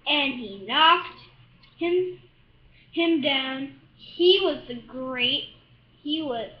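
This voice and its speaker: A woman reading a children's picture book aloud, haltingly, stumbling over and repeating words. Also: high-pitched, echoey room, highs cut off. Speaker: storybook reader.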